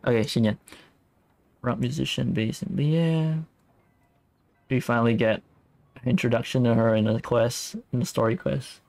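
A man giving relaxed, casual livestream commentary over a game, reading and reacting aloud. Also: medium pitch, slow, vocal fry, long pauses, no room sound. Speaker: game streamer. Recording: faint game music behind. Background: soft game music.

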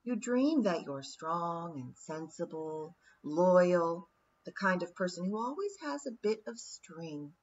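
A woman's voice: on the low side, lively intonation, slow pace, highs cut off, slight background noise. A woman voicing a cold, cruel fairy queen who speaks in a silky, condescending, taunting way in an audiobook. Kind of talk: audiobook character voice.

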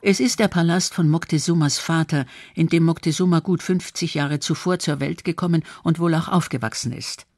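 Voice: mid-range voice, normal speed, hardly any pauses, no room sound, soft background music. A narrator reading a scripted historical documentary.

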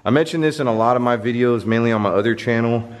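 A man in his thirties hosting a vlog, chatting to the camera and reading off viewer names while exploring a dark abandoned stadium at night. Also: mid-range voice, quick pace. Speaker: YouTube host. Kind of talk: casual vlog narration. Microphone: handheld camera held close.

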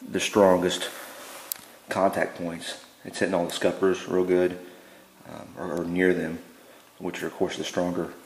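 A very deep-voiced man talks in a low, even monotone as he walks through his handiwork. He speaks plainly and fills his pauses with ums and uhs. The sound bounces around an echoey garage, with a faint hum of room noise behind him. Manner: relaxed and plain-spoken, many ums and uhs. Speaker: DIY builder showing his work. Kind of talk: casual how-to walkthrough. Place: indoor garage.

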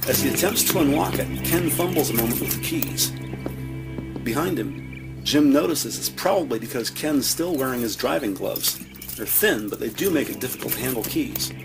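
A man narrating an audio drama from a script, describing scenes in measured present-tense storytelling. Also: mid-range voice, lively intonation, vocal fry, low-quality recording. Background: music.

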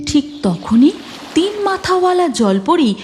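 A woman's voice: very high voice, big pitch swings, measured pace, darkish tone, soft background music. A woman narrator reading a scripted fairy tale for a cartoon.

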